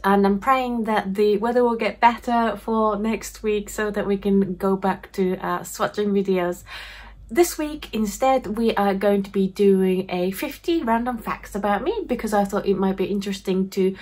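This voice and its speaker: A Japanese-born woman, chatting to camera in an animated way, often laughing, in her home craft room. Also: mid-range voice, normal speed. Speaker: craft YouTuber. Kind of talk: casual talk to camera. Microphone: camera facing her at desk distance.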